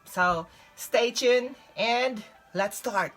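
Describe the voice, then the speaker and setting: A woman's voice: fairly high pitch, drawn-out words, a bit bright. Young filipina-accented lady doing a casual how-to vlog, faint music behind her. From Philippines. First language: Visayan (Filipino). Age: young adult. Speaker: vlog host, English teacher. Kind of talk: casual advice vlog to camera.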